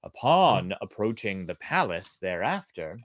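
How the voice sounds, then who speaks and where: A storyteller giving expressive, theatrical and deliberate narration of a folktale. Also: low voice, no echo. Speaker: storyteller, host.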